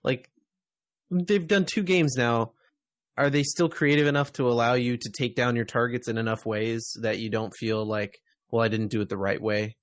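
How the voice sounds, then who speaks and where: A man's voice: medium pitch, steady tone, moderate pace, no room sound. A man co-hosting a podcast in casual chat about video games, putting questions in an inquisitive way. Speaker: podcast co-host.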